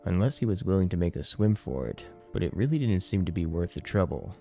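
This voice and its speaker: Deep mellow guy narrating an audiobook, scripted story read, bit of music under it. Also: very animated, fairly quick.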